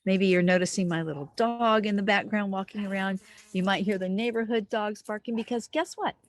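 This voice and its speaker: A woman reading a picture book aloud to young children outdoors, expressively, pausing to put questions to the listener. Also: mid-range voice. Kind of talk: animated read-aloud storytelling for young children. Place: outdoors in a backyard with trees.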